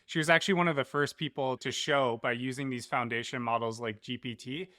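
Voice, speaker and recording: A man's voice: mid-range voice, fairly even intonation, a little fast, bright, forceful tone, no room sound, clean studio sound. A man speaking as a startup co-founder and interview guest, explaining his work in conversational business talk.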